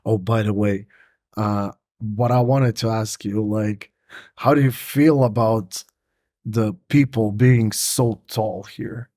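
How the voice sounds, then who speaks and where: A man's voice: on the low side, fairly even intonation, takes it slowly, dark tone, no room sound, studio quality. A young man from Montenegro, a native Montenegrin speaker, hosting a casual podcast and rambling with lots of fillers and casual swearing. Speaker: podcast host. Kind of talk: casual conversation.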